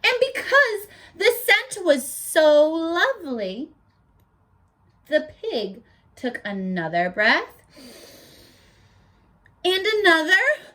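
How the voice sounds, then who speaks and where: Lively woman teacher reading aloud to kids. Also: very high voice, drawn-out words, long pauses. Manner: animated, asks children questions. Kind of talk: reading a picture book aloud to children.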